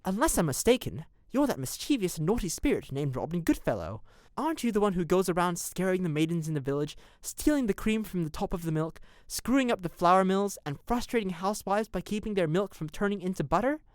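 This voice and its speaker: A woman's voice: lowish voice, very animated, a little fast, no room sound, studio quality. A woman playing a cheeky, inquisitive fairy servant in an audio drama, with a teasing, theatrical delivery. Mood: curious, teasing. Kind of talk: modern-English audio drama acting.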